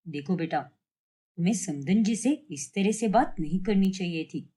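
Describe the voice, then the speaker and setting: A woman voicing a calm older father-in-law who makes peace gently in a cartoon family story. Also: fairly low pitch, moderate pace, breathy edge, pitched below the performer's own voice. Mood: placating. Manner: placating, gentle.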